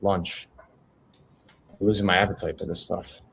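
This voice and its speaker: A man reading the part of a weary, wry newspaper proofreader at a film script table read, in a low-key conversational way. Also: flat delivery, normal speed, vocal fry, a bit bright, a little hiss or hum. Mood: tired, dry. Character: Cassidy, weary, lonely male newspaper proofreader, wryly curious.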